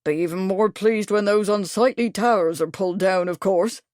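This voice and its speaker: A woman's voice: medium pitch, moderate pace, a little mellow, no echo, close to an everyday voice. Fussy old military man, pompous and long-winded, read by a woman narrator in a dry studio. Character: fussy older male retired major, pompous.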